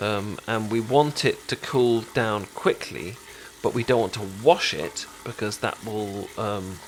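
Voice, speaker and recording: A British man playing a caring, chatty best friend in an intimate ASMR roleplay, talking casually with halting, stammering explanations. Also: on the low side, a little hiss or hum, poor audio. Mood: calm, instructive. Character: caring, chatty male best friend teaching sushi making.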